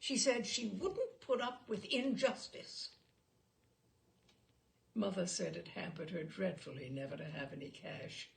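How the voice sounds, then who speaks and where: A woman's voice: medium pitch, animated, a little slow, creaky. A woman reading a literary short story aloud expressively, with theatrical emphasis on key words.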